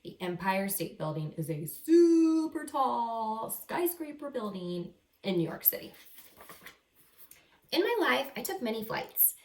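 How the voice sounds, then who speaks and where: Lady teacher doing an expressive kids read-aloud, encouraging, explains stuff simply, roomy echo and kinda far from the mic. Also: fairly high pitch, unhurried. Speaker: teacher reading a picture book aloud to children. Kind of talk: animated children's read-aloud with simple asides. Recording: roomy echo, distant microphone.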